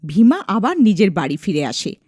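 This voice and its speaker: A woman's voice: medium pitch, very animated, normal speed, warm tone, husky, dry recording, studio quality. A woman narrating a scripted dramatic story as a storyteller.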